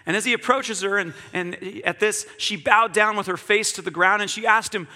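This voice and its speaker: A man's voice: high voice, moderate pace, sharp tone, in a large hall. A man preaching a sermon in an earnest, reflective way, repeating key phrases.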